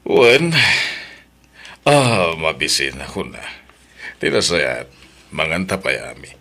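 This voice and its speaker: A man's voice: very deep voice, sing-song, takes it slowly, creaky, sharp tone, full character voice. A man playing husband, boss and father roles in a radio drama, earnest and intimate. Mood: wooing. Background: background music.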